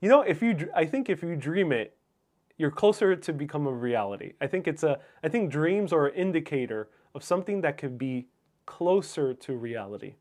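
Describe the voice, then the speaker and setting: A man's voice: on the high side, expressive, normal speed, a little mellow. A man whose first language is Spanish, hosting a livestream in a casual, musing, somewhat hesitant way as he reads and answers viewer comments. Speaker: livestream host.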